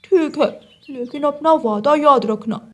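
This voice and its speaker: A woman's voice: high-pitched, a little slow, darkish tone. Woman doing a mischievous greedy young crow. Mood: eager. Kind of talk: cartoon story dialogue.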